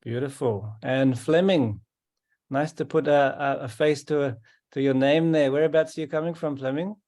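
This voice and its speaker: Calm man hosting a video call. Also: fairly high pitch, moderate pace. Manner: calm, repeats words while welcoming. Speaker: host. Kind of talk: online meeting welcome.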